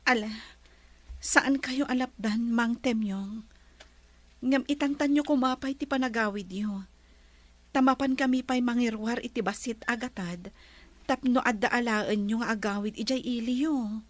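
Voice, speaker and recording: A kind, gracious lady of a mansion in a radio drama, played by an actress. Her voice sits high and she speaks gently. The recording is close and dry. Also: measured pace, dry recording. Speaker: employer character.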